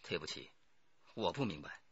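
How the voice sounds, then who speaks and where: An actor playing a defiant, cornered murder plotter under interrogation in a radio drama, speaking deliberately. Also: male voice, sits low, very animated, creaky, fairly bright tone, slightly rough, muffled recording, exaggerated voice. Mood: cool defiance.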